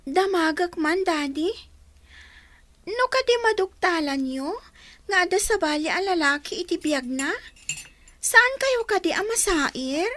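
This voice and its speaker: A woman's voice: extremely high pitch, expressive, a little slow, pauses often, sharp tone, no echo, full character voice. A woman playing an anxious, pleading young grandchild in a radio drama, with a northern Filipino accent.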